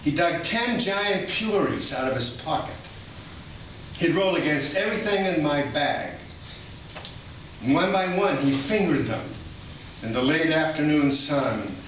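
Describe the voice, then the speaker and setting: A man's voice: fairly high pitch, lively intonation, unhurried, muffled recording. An older man from Massachusetts, giving a live poetry reading with informal, reminiscing asides. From Massachusetts, USA. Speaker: poet. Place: indoor college reading venue, recorded from a distance. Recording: distant, echoey room recording with noticeable noise.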